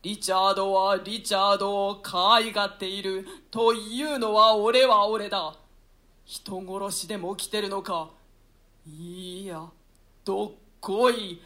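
A man's voice: high-pitched, pushed and bright. An actor playing a guilt-ridden villain king, delivering an anguished theatrical monologue in a staged radio-play reading.

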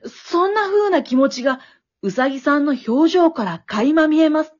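A woman playing an excitable, wisecracking storyteller, narrating a comic radio drama like play-by-play with dramatic flair. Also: high voice, normal speed. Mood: excited, suspenseful. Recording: dull, lo-fi recording.

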